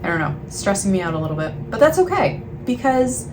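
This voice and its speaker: A woman's voice: mid-range voice, big pitch swings, quick pace. A woman chatting casually to camera as a book vlogger, in a rambling, self-deprecating way, often trailing off mid-thought. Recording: clearly noisy, ambient background sound.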